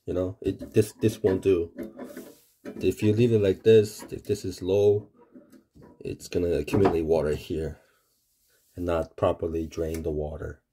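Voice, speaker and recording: A man casually explaining a home heater repair, halting with fillers and restarts, recorded on a phone in a basement utility room. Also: sits low, flat delivery, dark tone, a little hiss or hum. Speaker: homeowner showing a furnace repair. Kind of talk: casual how-to video. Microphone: phone camera held close.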